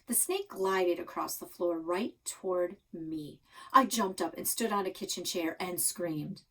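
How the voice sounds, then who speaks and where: A woman narrating a children's novel aloud in an animated, dramatic, expressive style. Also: on the low side, unhurried, far from the mic, rough recording. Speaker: storybook reader.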